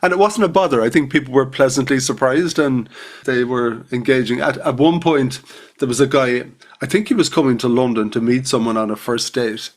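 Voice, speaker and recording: Middle-aged male podcast host, mid pitch, informal and jokey interviewer. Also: a little fast. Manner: jokey and informal, tells anecdotes.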